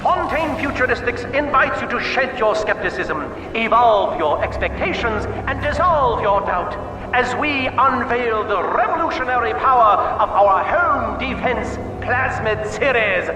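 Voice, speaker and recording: Unhinged, high-pitched theatrical villain boss. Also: male voice, animated, a little slow, roomy sound, big-room sound, far from the mic, loud music behind the voice, rough recording. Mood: showman glee. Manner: pompous showman, swooping between purring menace and shrieks. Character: unhinged, theatrical mutated company boss taunting an intruder. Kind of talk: theatrical video game villain acting.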